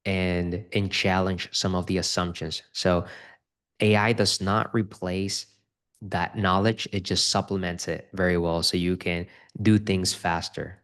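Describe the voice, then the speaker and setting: A man's voice: low-pitched, fairly even intonation, unhurried, fairly bright tone. A man from the Republic, a guest in a casual interview, talking earnestly and often repeating his first words. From Dominican Republic. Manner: earnest, repeats words when starting sentences. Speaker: guest, real estate investor.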